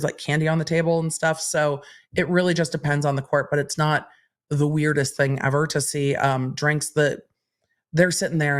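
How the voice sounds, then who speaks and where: A woman hosting a livestream, chatting candidly and reading out viewer questions. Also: low-pitched. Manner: chatty and candid, answering questions in an even, explaining way. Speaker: legal commentary livestream host.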